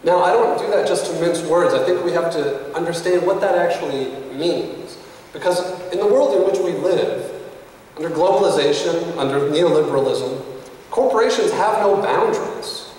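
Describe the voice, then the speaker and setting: A man in his thirties gives an earnest, measured speech from a podium, his voice climbing when he stresses a point. He is picked up by a camera well across the room, so the voice sounds distant. A long, booming reverb from the hall hangs after every phrase, with faint room noise underneath. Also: a little mellow. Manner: earnest, measured speech that rises in pitch for emphasis. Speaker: conference speaker. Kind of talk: prepared conference speech. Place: hotel conference room at a podium. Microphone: podium mic, recorded by a camera across the room.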